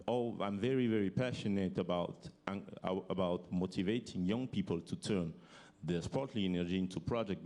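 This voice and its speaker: A man with a French accent, giving a halting public speech and often restarting his sentences as he searches for words in English. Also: low voice, a little mellow. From French-speaking African country. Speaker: forum speaker.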